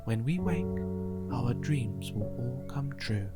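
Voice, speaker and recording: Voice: medium pitch, expressive, slow pace. A storybook narrator voicing two dreamy, eager young caterpillar sisters, with music behind. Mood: hopeful, dreamy.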